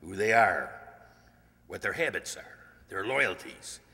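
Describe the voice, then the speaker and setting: An actor playing a calculating, diplomatic security official with a formal manner in a radio play. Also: male voice, deep voice, big pitch swings, slow, sharp tone, full character voice. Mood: scheming, measured. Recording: reverberant hall.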